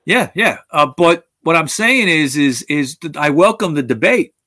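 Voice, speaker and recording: A man's voice: a little high, lively intonation, a little slow, sharp tone, no room sound, clean studio sound. A man hosting a talk show, speaking bluntly with frequent swearing and restarts. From United States. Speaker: podcast host. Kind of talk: opinionated casual talk.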